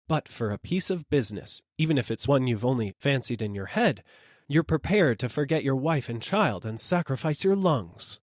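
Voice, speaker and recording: A man voices a storybook shopkeeper's wife who is firm, scolding and dismissive. The voice is lifted in pitch and lively, recorded dry. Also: dry recording, natural voice.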